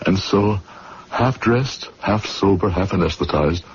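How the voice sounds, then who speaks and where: A man's voice: sits low, animated, unhurried, a little hiss or hum. A man playing a naive, uneasy young medical student in an old radio drama, earnest and literary as he recalls his past. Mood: grave, regretful. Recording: dull radio recording with music behind.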